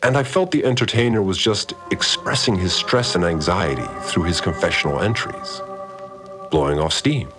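A measured, grave male narrator speaks in an extremely deep voice. Music plays clearly behind him throughout. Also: expressive. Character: calm male mystery function narrator.

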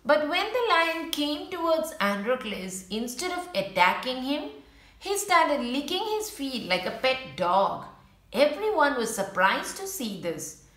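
Woman, children's storyteller, very expressive intonation, explanatory asides, roomy echo. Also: a little high, measured pace. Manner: simple explanations addressed to young listeners. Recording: roomy, echoing room.

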